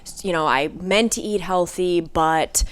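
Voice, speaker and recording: A woman's voice: lowish voice, measured pace, smooth. A woman guest in a casual podcast conversation, talking emphatically and filling her speech with "like".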